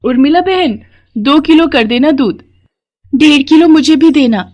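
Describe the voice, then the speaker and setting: A woman's voice: high voice, measured pace, darkish tone, highs cut off, voice pushed up. A woman voicing a chatty, friendly village neighbour in a cartoon moral story. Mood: cheerful.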